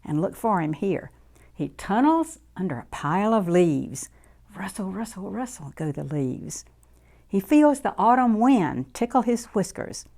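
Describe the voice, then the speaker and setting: A woman reading a picture book aloud to young children in an expressive, deliberate, sing-song way. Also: medium pitch. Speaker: children's story reader.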